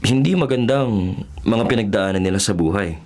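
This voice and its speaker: A man's voice: fairly low pitch, moderate pace, a little mellow, lightly acted. Man acting as an earnest, doubting male journalist. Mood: troubled, questioning. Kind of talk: radio drama acting.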